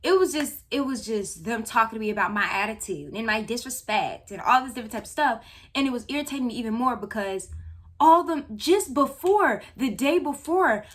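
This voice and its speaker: A woman of nineteen telling a story about a job to viewers in a chatty, animated way. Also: a little high. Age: young adult. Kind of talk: casual storytime.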